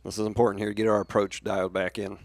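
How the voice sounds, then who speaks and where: An American man with a slightly low voice talks very quickly as a baseball color commentator. His analysis is conversational, dropped in between plays of a live game. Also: flat delivery, no echo.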